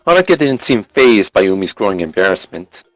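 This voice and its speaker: A man's voice: lowish voice, big pitch swings, a little fast, no room sound, soft background music, poor audio. A man reading a story aloud as live narrator, sometimes stumbling and rereading phrases.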